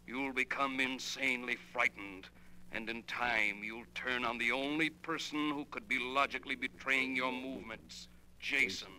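A man in a 1940s radio drama playing a coldly vengeful man, speaking deliberately and with menace. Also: mid-range voice, steady tone, bright, forceful tone. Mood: cold, menacing. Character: vengeful dead man coldly reading out his plan. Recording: noisy, humming old radio recording.

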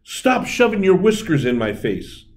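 A man voicing a bossy, excitable female Tooth Fairy from a storybook in an exclamatory way. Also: high voice, unhurried, slight background noise, pitched above the performer's own voice. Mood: insistent. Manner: exclamatory, animated storybook delivery.

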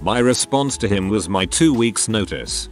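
Lively low-voiced male story reader. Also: moderate pace. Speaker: narrator reading posts. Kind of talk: scripted narration of forum stories. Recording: narration over faint background music.

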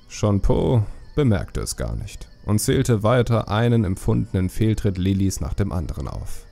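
Low, creaky male audiobook narrator. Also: mellow. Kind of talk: scripted fantasy story narration.

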